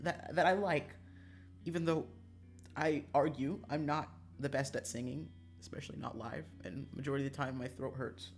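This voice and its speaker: Animated, joking streamer with music behind. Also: medium pitch. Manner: joking, self-mocking, dramatic, sweary. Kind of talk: casual livestream chat.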